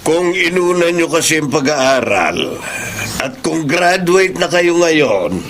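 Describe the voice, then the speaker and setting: Stern Filipino father, middle-aged, radio drama: rather high, lively intonation, scolding. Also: takes it slowly, a little hiss or hum, full character voice. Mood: reproachful. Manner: scolding, dismissive. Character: stern, reproachful father of a grown daughter.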